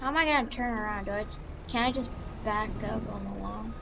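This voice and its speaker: A woman doing casual, halting roleplay commentary over a farming game, excitable and given to exclaiming. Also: medium pitch, vocal fry, highs cut off. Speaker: gaming video creator. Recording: noisy, with vehicle engine sounds.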